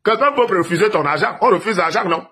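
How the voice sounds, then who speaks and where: A man's voice: sits high, expressive, fast, pushed and bright, husky. A man from Cameroon giving an emphatic political monologue to viewers, lecturing and asking rhetorical questions. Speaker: political commentator.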